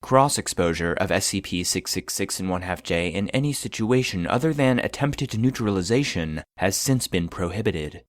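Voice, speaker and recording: A man reading scripted narration in the style of a scientific report, formal and deadpan. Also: low voice, normal speed, hardly any pauses, no echo.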